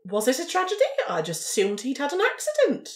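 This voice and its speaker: A woman puts on a sly, well-mannered lady investigator for a tabletop role-play. Her delivery is polite and arch, with swooping, animated pitch and a feigned innocence. The room rings around her. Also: fast. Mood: arch, prying. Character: genteel, sly female amateur investigator and newspaper writer. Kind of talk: improvised role-play dialogue. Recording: roomy, echoing room sound.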